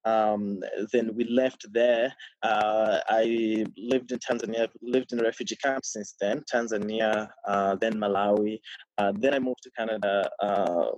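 A man in his thirties, born to Burundian parents, speaking in a relaxed interview and telling his life story with many pauses and restarts. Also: fairly low pitch, no room sound. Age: 30s. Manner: reflective, many ums and restarts, laughs often. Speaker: podcast guest. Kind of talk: casual interview conversation. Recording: dry, dull lo-fi sound.

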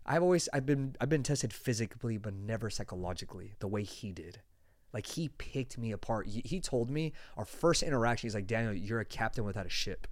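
A man's voice: fairly low pitch, lively intonation, a little fast. A man in his twenties hosting a podcast, telling stories in an earnest, rambling way with many restarts. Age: 20s. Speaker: podcast host. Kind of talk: casual interview chat.

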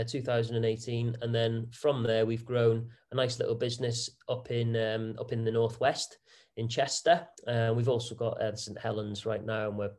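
A man's voice: mid-range voice, darkish tone. A man, answering interview questions about his property business in a chatty way, full of fillers and restarts. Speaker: property investor guest.

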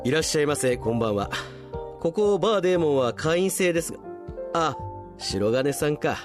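A man's voice: a little high, lively intonation, a little fast, music in the background, lightly acted. A man voicing an easygoing devil bartender who talks casually and knowingly in a comic radio drama. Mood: smooth welcome.

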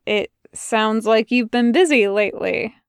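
An awkward, guarded male demon, as a woman reader plays him, speaking low and quietly with halting, hesitant phrases. The recording is close and dry. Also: clean tone, no echo.

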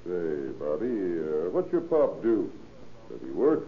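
A man's voice: sits low, sing-song, takes it slowly, full character voice. A man playing a shrewd American police inspector with clipped, matter-of-fact questions in an old-time radio crime drama. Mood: wry, coaxing. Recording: tinny, noisy old radio recording.

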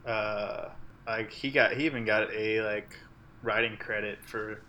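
A man's voice: fairly low pitch, steady tone, measured pace, bright, forceful tone, dull audio, a little hiss or hum. A man co-hosting a casual movie podcast, talking in a rambling way. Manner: rambling, many fillers and restarts. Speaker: podcast co-host.

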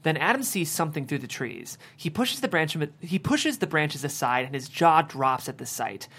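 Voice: medium pitch, big pitch swings, moderate pace, a bit bright, slightly rough. A narrator reading the stage directions of a screenplay at a table read.

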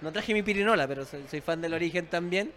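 A man's voice: fairly high pitch, fast talker, a bit bright. A man with a Chilean accent hosting an interview, upbeat and playful, laughing often. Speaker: interviewer, host. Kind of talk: casual interview chat.

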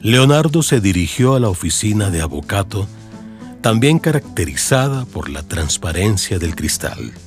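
A man's voice: on the low side, sing-song, moderate pace. A man with a Colombian accent narrating a dramatized audiobook in the style of a radio serial. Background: music under the voice.

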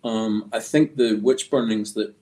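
A man talking as a guest artist on a podcast, telling stories in a halting, reflective, discursive way. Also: on the low side, warm tone. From Scotland. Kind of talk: conversational storytelling in a podcast interview.

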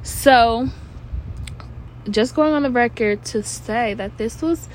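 A young woman talks to herself at home in a loose, rambling way, stumbling over words and dropping the odd curse. Her voice sits a little high and sounds stuffy. A faint hiss runs under the recording. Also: slow, poor audio. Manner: rambling, trips over words, swears casually. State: stuffy nose. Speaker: podcast host. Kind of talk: casual rambling monologue.

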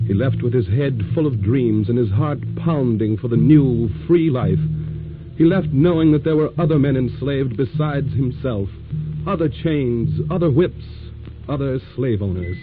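A man's voice: medium pitch, lively intonation, close to an everyday voice. Stirring history storyteller male actor, rhetorical and grave, old radio drama, narrow dull sound with prominent score. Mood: solemn, stirring. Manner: measured, rhetorical. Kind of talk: scripted dramatic radio narration. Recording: muffled old radio broadcast over music.

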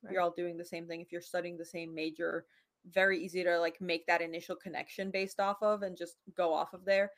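A woman in her twenties hosting a podcast, chatting casually and telling stories with lively quoted dialogue. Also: fairly low pitch, brisk. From United States. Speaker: podcast host. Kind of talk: casual chat.

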